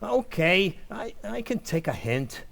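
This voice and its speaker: A man's voice: high-pitched, very animated, slow pace, gravelly, fairly bright tone, heavily acted. An actor playing a bumbling henpecked family man in an old-time radio comedy, hesitant and flustered as he blusters. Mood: hurt, stalling.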